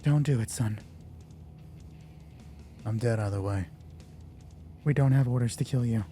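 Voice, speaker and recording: A man's voice: mid-range voice, measured pace, mellow, faint music behind, voice pushed down, natural voice. A man voicing a terse female covert operative in a roleplay game, curt and matter-of-fact. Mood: commanding.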